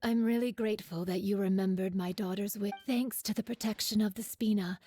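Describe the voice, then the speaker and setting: A woman voicing a gentle, polite and grateful mother in a video game. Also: mid-range voice, fairly even intonation, a little fast, lightly acted. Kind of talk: soft video game voice acting.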